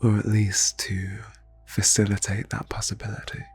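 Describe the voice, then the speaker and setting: A man leading an ASMR guided meditation in a soft, breathy near-whisper, phrasing slowly and soothingly with long pauses. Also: lowish voice, monotone, faint music behind. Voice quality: breathy, hushed.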